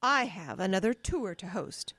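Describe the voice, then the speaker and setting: An actress playing a suspicious, firm, upright wife in an audio drama, questioning sternly and with exasperation. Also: female voice, medium pitch, big pitch swings, normal speed, a bit bright, no echo, clean studio sound.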